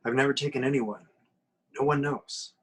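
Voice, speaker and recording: A man playing a steadfast, understated superhero leader in a script table read, terse and matter-of-fact, with a husky voice. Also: medium pitch, steady tone, normal speed, fairly bright tone. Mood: quietly assured.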